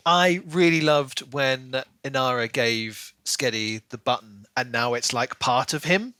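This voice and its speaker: A man's voice: medium pitch, a little slow, sharp tone, clean tone, dry recording. A man co-hosting a book podcast, talking casually and enthusiastically and musing aloud in rhetorical questions.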